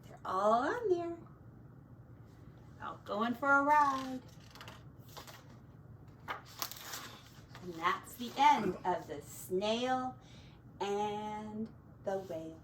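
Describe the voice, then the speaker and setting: A woman's voice: mid-range voice, lively intonation, extremely slow, long pauses, fairly bright tone. A woman teacher reading a picture book aloud to children in a sing-song, dramatic way. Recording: distant mic, faint background noise.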